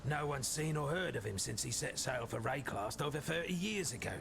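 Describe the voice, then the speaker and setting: Gravelly, skeptical townsman. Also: mid-range voice, expressive, fairly quick. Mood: skeptical, ominous. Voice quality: gravelly, creaky. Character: skeptical, gruff townsman wary of tall tales. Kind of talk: scripted video game character dialogue. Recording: clear background noise and ambience.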